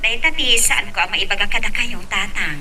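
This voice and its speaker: A woman's voice: medium pitch, fairly quick, bright, forceful tone. A woman playing an earnest young woman in love in an Ilocano audio drama. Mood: reserved. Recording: distant mic, faint background noise.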